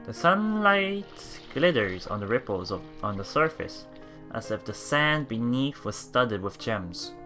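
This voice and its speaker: Casting: excitable let's play streamer, bright voice, very animated intonation, casual read-aloud narration. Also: medium pitch, unhurried, soft background music. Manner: excitable, repeats words and phrases. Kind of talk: reading game text aloud with casual reactions.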